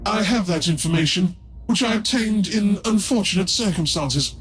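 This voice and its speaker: An actor playing a scheming heretical tech-priest scholar in a video game, speaking in a formal, theatrical, drawn-out way. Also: male voice, sits high, over music, close to an everyday voice. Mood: pleading, evasive.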